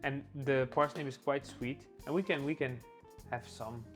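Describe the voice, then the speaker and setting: A man's voice: mid-range voice, normal speed, faint music behind. A man who is a guest chef, chatting casually while cooking in a kitchen, often restarting and repeating words as he talks enthusiastically about food.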